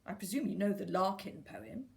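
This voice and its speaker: A woman's voice: mid-range voice, lively intonation, normal speed, gravelly. A woman voicing a precocious, polite but disapproving talking fetus in a read story, formal and probing in manner. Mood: reproachful. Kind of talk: storybook character reading.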